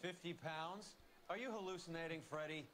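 An actor playing a wisecracking, cynical 1940s police detective in a video game, with a dry, sardonic way of talking. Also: male voice, fairly high pitch, normal speed, fairly bright tone, a little hiss or hum, close to an everyday voice. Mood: impatient. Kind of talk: video game dialogue acting. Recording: game music behind.

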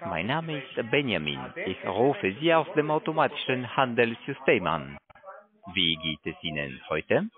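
A man cold-calling as a sales agent, giving a scripted pitch over the phone. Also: sing-song, measured pace, a crowd in the background. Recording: muffled narrow phone line.

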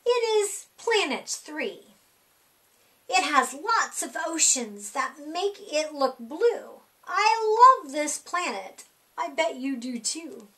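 High, lilting woman reading a children's story. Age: middle-aged. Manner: animated, sing-song, deliberate delivery for young children. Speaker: library storytime reader. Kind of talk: expressive read-aloud of a rhyming children's picture book. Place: small indoor room.

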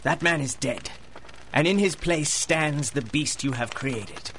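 Taunting, higher-voiced supervillain played by a man. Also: vocal fry, fairly bright tone, a little hiss or hum. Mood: grim, vengeful. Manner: taunting, theatrical, deliberate menace. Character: vengeful, menacing male supervillain with mind powers. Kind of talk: 1930s radio acting.

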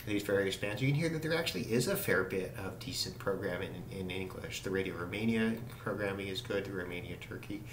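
A man giving a casual, halting, unscripted radio demonstration, musing as he goes. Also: fairly low pitch, a bit bright. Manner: halting, musing delivery with trailing thoughts. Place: indoors, small room at a desk. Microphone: camera mic some distance away. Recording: roomy, distant mic with radio static noise.

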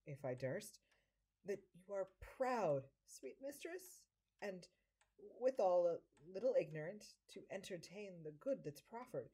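A woman playing an impish devil posing as a fawning, scheming manservant in an audio drama, with flattering, theatrical delivery in the manner of early stage comedy. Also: medium pitch, unhurried, breathy edge, rough recording. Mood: sly, coaxing. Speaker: amateur actor.

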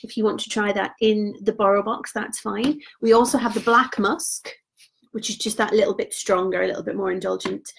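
Woman in her 30s doing a live sales chat, a bit hoarse, mid pitch, rambly and trailing off quiet. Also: steady tone, a little fast, slight background noise. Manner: chatty and informal, trails off quietly. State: voice going hoarse. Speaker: beauty product consultant.

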